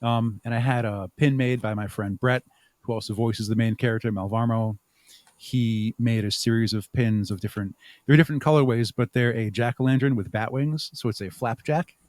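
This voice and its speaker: A man talking casually and thoughtfully as an interview guest, with halting phrasing. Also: mid-range voice, fairly even intonation, a little mellow, no room sound. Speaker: podcast guest, audio drama creator.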